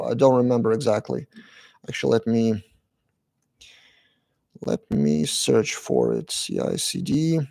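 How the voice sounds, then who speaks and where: A man from Lithuania, hosting a casual live-stream Q&A, reading chat questions aloud and answering briefly with lots of "uh" pauses. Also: medium pitch, creaky, mellow.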